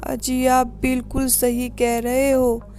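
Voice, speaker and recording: Slow, dutiful village wife played by a woman. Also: sits high, monotone, a little mellow, soft background music. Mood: weary agreement. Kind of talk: Hindi cartoon story dialogue.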